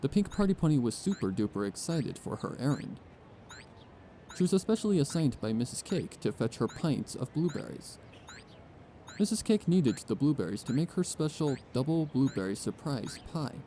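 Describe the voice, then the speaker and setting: A man narrating a scripted fan fiction story as an expressive storyteller. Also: medium pitch, moderate pace, slight background noise.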